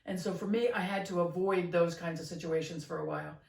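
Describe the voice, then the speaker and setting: A middle-aged woman co-hosting a podcast, talking in an earnest, gentle way with many fillers and restarts. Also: on the low side, steady tone, fairly quick, gravelly. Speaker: podcast co-host. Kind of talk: reflective casual chat. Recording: roomy, slightly distant mic.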